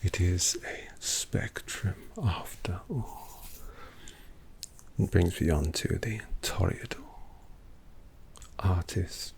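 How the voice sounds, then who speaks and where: A man voicing a languid, possessive male vampire artist in an ASMR roleplay, talking softly and teasingly to the listener. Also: deep voice, full character voice. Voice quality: soft whispery, gravelly. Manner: slow, teasing, intimate address to listener.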